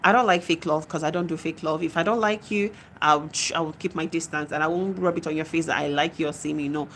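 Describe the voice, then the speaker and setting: A woman's voice: low-pitched, steady tone, fast, fairly bright tone, slight background noise. A young adult woman with a Nigerian accent, chatting casually on a live stream as she reads out and answers viewer comments, laughing often.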